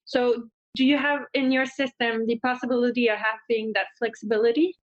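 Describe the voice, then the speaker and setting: A French-speaking woman from Quebec with a Québécois accent, a tour operator who asks thoughtful questions with many pauses and fillers. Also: a little high, fairly even intonation, no room sound. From Quebec, Canada. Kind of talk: asking questions in a podcast chat. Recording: dry, dull-sounding mic.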